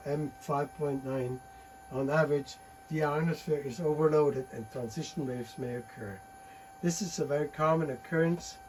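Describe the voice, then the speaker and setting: A man giving a technical conference talk from his slides, halting often with uh and restarting words. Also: medium pitch, darkish tone, slight background noise, low-quality recording. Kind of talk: technical presentation, reading from slides.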